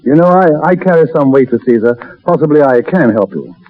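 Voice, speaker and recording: Old narrow 30s radio, actor as suave obliging gentleman, urbane, lightish male voice. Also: fairly high pitch, expressive, a little fast, lightly acted. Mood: urbane, amused. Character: suave, worldly gentleman admirer, smoothly obliging. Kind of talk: 1930s radio drama acting. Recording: muffled, narrowband 1930s radio broadcast.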